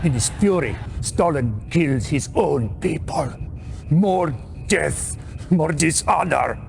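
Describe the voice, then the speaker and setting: An actor playing a defecting Soviet major with a Russian accent, grave, theatrical and halting in a game cutscene. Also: male voice, a little high, lively intonation, gravelly, faint music behind, heavily acted. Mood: anguished. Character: anguished Soviet major, a defecting atomic strategist. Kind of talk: dramatic game cutscene acting.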